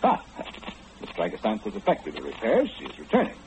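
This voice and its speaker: An actor in an old-time radio play, playing a formal, fussy gentleman who calmly knows everything and speaks with matter-of-fact precision. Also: on the low side, brisk, creaky, heavily acted. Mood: polite, composed. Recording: dull, noisy old radio recording.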